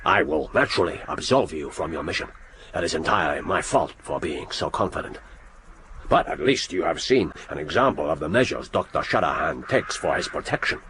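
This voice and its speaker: Deep, lively Japanese spymaster. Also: male voice, brisk, exaggerated voice. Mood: grave, apologetic. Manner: formal, hesitant interjections, effusive. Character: genial, courteous Japanese spymaster, middle-aged man, commanding. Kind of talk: radio drama acting. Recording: noisy radio drama with background ambience.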